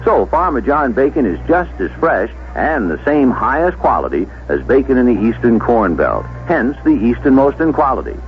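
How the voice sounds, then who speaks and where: An American man reading a scripted 1970s radio advertisement in a folksy, emphatic salesman's manner. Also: medium pitch. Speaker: commercial spokesman. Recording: dull lo-fi vintage radio with music.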